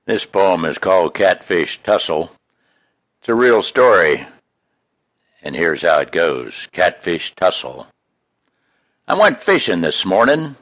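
A man reading his own poems in an expressive, folksy, homespun way, with casual introductions between them. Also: low voice, takes it slowly, pauses often, no room sound. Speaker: poet reading own work.

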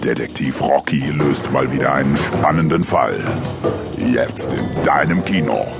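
Extremely deep, gravelly trailer announcer. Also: male voice, a little slow, over loud music, full character voice. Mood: dramatic. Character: gravelly, dramatic cinema trailer announcer on television. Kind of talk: movie trailer voice-over.

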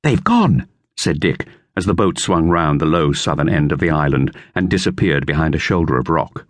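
A man reading a children's novel as an expressive audiobook narrator. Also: rumbling low, a little fast, dry recording.

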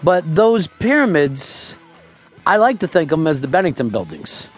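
A man hosting a radio talk show, taking callers and telling absurd tall tales with a deadpan, profane delivery. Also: a little high, normal speed, faint music behind.

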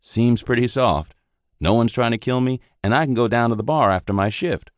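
A man's voice: mid-range voice, expressive, moderate pace, no echo, clean studio sound, voice pushed up, close to an everyday voice. A man voicing a naive, eager young army private who asks earnest questions. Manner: wide-eyed, earnest questioning.

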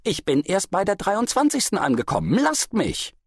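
A man voicing a poor, sturdy peasant in a story, stubborn and gruff, brushing others off. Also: high-pitched, wildly swooping pitch, normal speed, no echo, clean studio sound, pitched above the performer's own voice, full character voice. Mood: defiant, shouting. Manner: gruff, dismissive.

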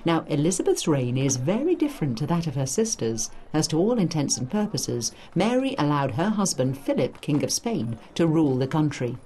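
A woman's voice: deep voice, very animated, normal speed, hardly any pauses, dark tone, slight background noise, poor audio. A woman giving a scripted educational narration for a study guide, in an emphatic storytelling manner.

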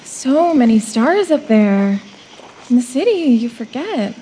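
A woman's voice: a little high, sing-song, slow, dark tone, slight background noise, poor audio. A woman playing a sardonic, observant young camper with a dry, sarcastic manner in a live horror audio drama. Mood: curious.

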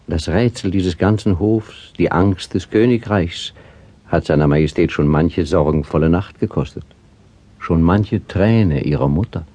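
An actor playing a deferential but calculating court priest in a classical stage drama, speaking in formal, measured, insinuating phrases. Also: male voice, deep voice, dark tone, dry recording.

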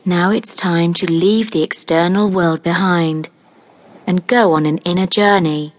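Female guided relaxation narrator: lowish voice, very slow delivery, calm and soothing, hypnotic scripted read with roomy pauses between phrases.

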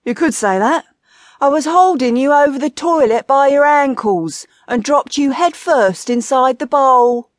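A grumpy old husband in a comic audiobook, put on by a woman reader. He is dry and mocking, teasing his wife with lively, varied intonation. The sound is close and dry. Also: mid-range voice, moderate pace, no echo, close to an everyday voice.

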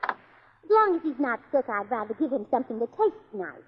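An actress playing a cheerful, scatterbrained young wife with a bubbly, illogical manner in a 1940s radio comedy. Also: female voice, fairly high pitch, brisk, background noise. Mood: chirpy. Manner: bubbly, chirpy, deadpan about her own nonsense. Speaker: comic lead. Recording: slightly dull old radio recording.

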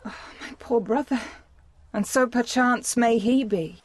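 A woman's voice: a little high, unhurried, no echo. A woman playing a shipwrecked young noblewoman, grieving yet hopeful, in emotive Shakespearean audio drama. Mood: anxious, grieving.

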